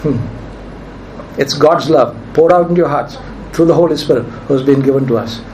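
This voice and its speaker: Male pastor, high voice, strained from preaching, emphatic, faint background hiss. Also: brisk, darkish tone, low-quality recording. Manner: emphatic preaching, repeats key phrases. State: nearly lost his voice. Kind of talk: sermon.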